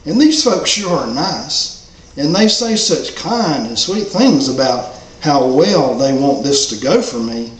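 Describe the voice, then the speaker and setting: A man's voice: medium pitch, very animated, slow pace. A man reading his own first-person story as a hopeful, humble homeless dog, in a folksy, rural way of talking. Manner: folksy, drawling rural phrasing. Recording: roomy echo, faint background noise.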